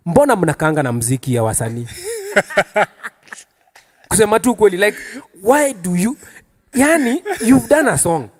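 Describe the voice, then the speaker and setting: A Kenyan man with a very lively, swooping delivery hosts a casual panel chat. He questions people in an animated, teasing way and slips between languages as he goes. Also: high voice, moderate pace, long pauses. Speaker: talk show host.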